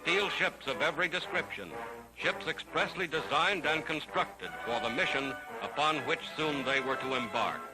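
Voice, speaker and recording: High-voiced 1940s newsreel man over loud music. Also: moderate pace, rough recording. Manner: dramatic, solemn, declamatory. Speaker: newsreel narrator. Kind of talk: 1940s newsreel scripted narration. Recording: archival 1940s newsreel soundtrack. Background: loud orchestral music.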